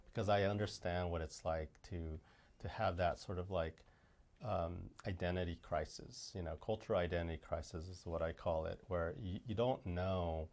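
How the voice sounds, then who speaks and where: A man with a low voice talks in a casual interview. He speaks haltingly, filling gaps with ums and restarting his sentences. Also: steady tone, darkish tone. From United States. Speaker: film director, interview guest.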